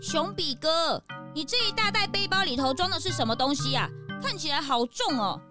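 A childlike, inquisitive young sidekick acted by a woman chatters in a high voice with swinging intonation. It is a children's detective drama. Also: expressive, moderate pace, music in the background. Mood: curious. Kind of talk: children's mystery audio drama.

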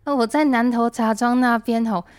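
A woman's voice: a little high, steady tone, moderate pace, smooth, no room sound, studio quality, close to an everyday voice. Woman playing a gushing Taiwanese tourist guest. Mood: effusive, apologetic. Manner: effusive, repetitive. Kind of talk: comedic audio drama acting.

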